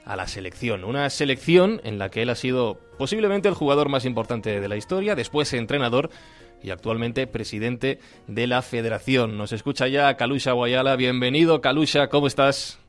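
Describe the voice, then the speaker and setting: A man hosts a radio programme and reads scripted introductions in a steady, measured way. Faint music plays under his voice. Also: mid-range voice. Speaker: radio host.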